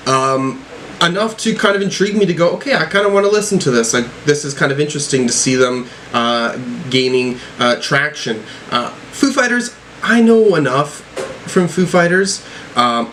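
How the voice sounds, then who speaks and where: Guy doing a casual music reaction, mid-pitched voice, rambly and slangy, swears a bit, some noise in the background. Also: a bit bright. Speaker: music reviewer reacting to albums. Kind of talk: casual off-the-cuff reaction commentary.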